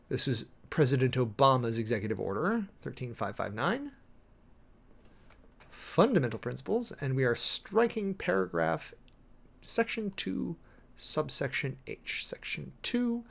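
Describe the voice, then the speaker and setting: Animated, gravelly American man reading dramatically. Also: a little high. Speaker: streamer, preacher host. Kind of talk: dramatic reading of documents with close analysis.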